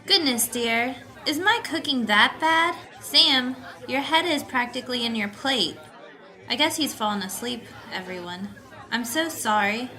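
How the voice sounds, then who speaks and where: A woman's voice: fairly high pitch, animated, measured pace, pushed and bright, some chatter behind. A woman playing a polite, innocent-seeming housewife and hostess in a genteel, dramatic 1950s radio style. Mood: polite, embarrassed. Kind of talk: 1950s radio mystery acting.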